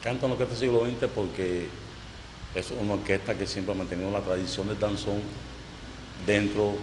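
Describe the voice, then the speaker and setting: A Cuban-accented man with a fairly low voice gives an interview as an orchestra singer. The room rings with echo, and there is clear noise behind him. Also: steady tone, normal speed. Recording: echoey hall with background hum.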